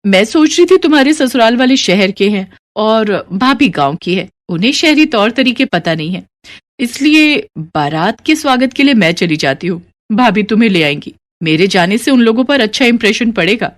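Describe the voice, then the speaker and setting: A woman voicing a haughty, scheming young city-bred sister-in-law in an animated story, dismissive and sprinkling in English words. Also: fairly high pitch, moderate pace, voice pushed up, natural voice. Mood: smug, persuasive. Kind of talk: animated moral story character dialogue.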